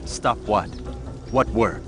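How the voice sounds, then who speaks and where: An actor playing a terse, proud young assassin in a video game, blunt and solemn. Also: male voice, fairly low pitch, lively intonation, slow pace, over music. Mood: menacing.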